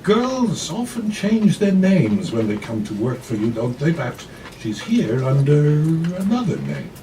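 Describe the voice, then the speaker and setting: An iron-willed grandmother played by a male reader speaks with earnest, dramatic insistence. Her pitch moves a great deal, heard slightly off mic in a noisy live room. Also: big pitch swings, measured pace, warm tone. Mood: insistent. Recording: noisy distant live café recording.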